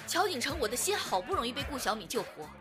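A woman's voice: high voice, sing-song, fast talker. A woman acting a devoted young lover, firm yet tender, in a modern Chinese audio drama, with music underneath. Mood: hurt, resolute.

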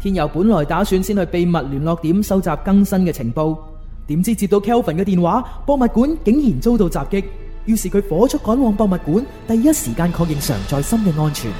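A man gives dramatic scripted narration for a radio drama. His voice is mellow and soft-edged, with expressive rises and falls over light music. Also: high-pitched, fairly quick.

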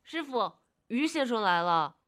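An actress playing a meek, earnest young opera apprentice in a radio drama, polite, youthful and eager in manner. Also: female voice, a little high, sing-song, unhurried, fairly bright tone, dry recording, studio quality.

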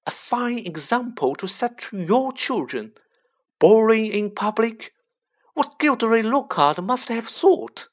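A man voicing a shrill, furious mother. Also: expressive, slow, full character voice. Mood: scolding. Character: shrieking furious mother, middle-aged woman.

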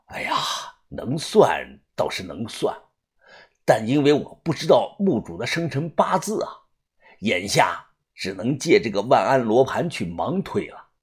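Man acting a husky, grave old fortune-teller. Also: mid-range voice, very animated, moderate pace, a bit bright, voice pushed down. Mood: grave, low. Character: grave old male fortune-telling master, cautious and superstitious.

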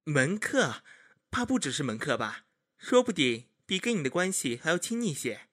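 Man acting haughty young gentleman, high and swooping. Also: sing-song. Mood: taunting, smug. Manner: mocking, theatrical, drawn-out emphasis. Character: haughty, jealous young gentleman in ancient China. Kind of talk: period audio drama acting.

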